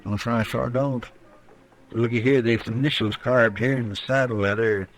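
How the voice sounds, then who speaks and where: An eager, folksy western sidekick acted by a man with a rural American drawl. His voice sits at a medium pitch. He is chatty and politely deferential, in the manner of a radio western. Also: steady tone, normal speed, soft background music, close to an everyday voice. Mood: curious, eager. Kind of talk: old-time radio western acting.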